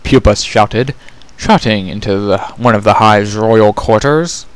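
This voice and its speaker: A man's voice: fairly low pitch, measured pace, clear, resonant tone. A man narrating a fantasy story as a scripted dramatic reading.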